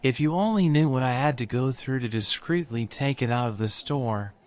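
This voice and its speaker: A man's voice: medium pitch, moderate pace, darkish tone, pitched above the performer's own voice, natural voice. A man voicing Jack, a young boutique worker anxious about a borrowed dress. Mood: worried.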